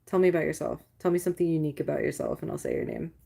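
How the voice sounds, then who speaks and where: A young adult woman with a deep, gravelly low voice chats with her livestream viewers. She rambles, swears casually and fills her talk with "like" while telling stories. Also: wildly swooping pitch, racing, warm tone. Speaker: livestream host.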